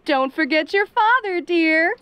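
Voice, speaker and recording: A perky yandere mom with an extremely high, girlish voice. She speaks very slowly and theatrically, doting and teasing with genteel sweetness in an audio roleplay. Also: lively intonation, fairly bright tone, no room sound, full character voice. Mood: cheerful teasing. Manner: sugary, theatrical, genteel endearments. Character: perky, doting, middle-aged yandere mother, teasingly clingy.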